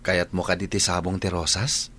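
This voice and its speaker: A man's voice: low-pitched, level tone, normal speed, pushed and bright. A man with an Ilocano accent playing a bashful bachelor son who is courting, in a radio drama. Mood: shy courting.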